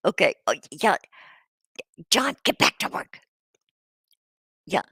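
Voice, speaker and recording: A woman's voice: medium pitch, big pitch swings, slow, creaky, a bit bright, breathy edge, dry recording, clean studio sound. A woman hosting a casual livestream chat, rambling, laughing and swearing freely while she loses her voice. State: losing her voice. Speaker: host.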